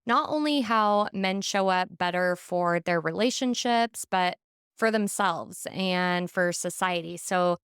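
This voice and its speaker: A woman hosting a podcast, reading a lively scripted intro and then chatting casually with her guest, laughing often. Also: fairly low pitch, no room sound, studio quality. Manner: upbeat, laughs often, quick agreeing backchannels. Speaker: podcast host. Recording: intro over clear music.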